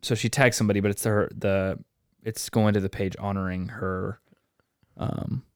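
Laid-back low-voiced guy, sniffly. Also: steady tone, moderate pace, smooth, no room sound, clean studio sound. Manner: laid-back, says dude and man a lot. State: sniffling after crying. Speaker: podcast co-host. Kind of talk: casual chat.

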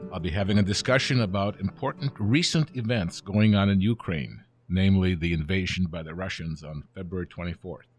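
A man in his seventies, the show's regular presenter, telling history in a measured, conversational way that trails off at phrase ends. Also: deep voice, faint music behind. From born in Germany, raised in the United States. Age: 70s. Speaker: church president and podcast guest. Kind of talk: relaxed conversational interview.

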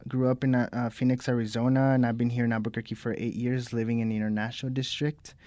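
A man's voice: medium pitch, flat delivery, fast, darkish tone, no echo. A man in his twenties from Mexico, a program coordinator, speaking earnestly in a radio interview with occasional fillers. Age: 20s.

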